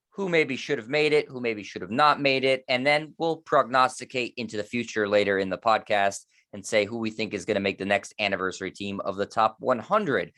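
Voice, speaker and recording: Lower-voiced man in his thirties hosting. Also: a bit bright. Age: 30s. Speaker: podcast host. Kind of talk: casual sports podcast talk.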